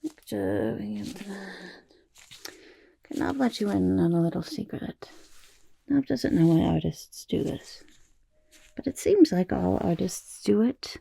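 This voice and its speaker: Casting: smug oddball goblin painter for ASMR roleplay; dark raspy tone, swooping intonation, halting mutters and whispers. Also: female voice, medium pitch, animated, heavily acted. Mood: boastful, pleased. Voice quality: raspy, gravelly, often dropping to whispers. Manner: halting, muttering, refers to self in third person. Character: smug, chaotic goblin portrait artist, eager and odd. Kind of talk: ASMR roleplay, personal attention.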